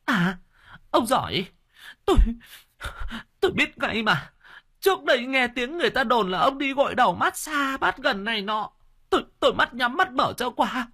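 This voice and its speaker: A man voicing an old wife who weeps and shrieks at her husband in a high, wailing voice. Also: gravelly, sharp tone, exaggerated voice. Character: old wife, weeping and shrill.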